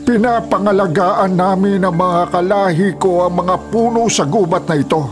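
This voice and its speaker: A man's voice: high voice, moderate pace, soft background music, lightly acted. A man playing a principled, peace-loving old forest elder in a Filipino radio drama, earnest and firm, with a Filipino accent. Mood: firm confrontation.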